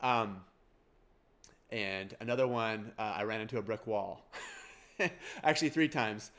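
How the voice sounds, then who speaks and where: A man's voice: on the low side, unhurried, fairly bright tone. Nasal man with a Kansas twang. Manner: rambling, self-deprecating, restarts and laughs. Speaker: CPA exam prep course owner. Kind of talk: casual talk to camera.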